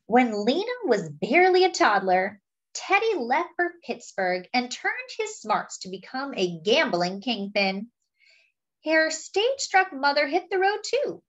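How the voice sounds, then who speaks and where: A woman with a fairly high voice reads a picture book aloud for children. Her pitch moves up and down in a very animated way, and she phrases each line deliberately, like a teacher at story time.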